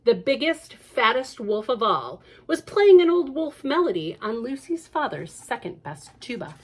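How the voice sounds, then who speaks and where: Expressive woman reading a picture book aloud. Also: a little high, a little slow. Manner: expressive, with chatty asides to children. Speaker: children's librarian. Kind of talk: storytime read-aloud.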